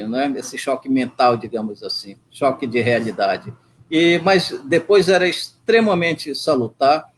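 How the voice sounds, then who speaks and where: A man teacher recounting his teaching over a video call, reflective, with frequent fillers. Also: medium pitch. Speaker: guest teacher.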